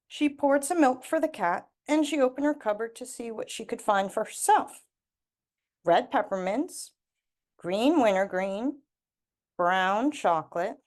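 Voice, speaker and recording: A woman reading a children's storybook aloud expressively, with deliberate emphasis on repeated words and lists. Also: fairly high pitch. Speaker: storybook reader.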